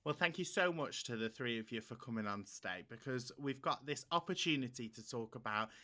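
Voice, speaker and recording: An upbeat man hosts a roundtable interview, steering the panel with encouraging remarks. His voice is bright and forceful, and his pitch sits in an ordinary middle range. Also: lively intonation, brisk. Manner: upbeat, encouraging, fluent presenter. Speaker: panel host.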